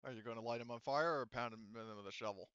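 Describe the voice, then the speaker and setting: A man's voice: mid-range voice, fast, a bit bright, clean tone, dry recording, lo-fi sound. A man casually refereeing a tabletop roleplaying game as game master, with informal, sweary talk.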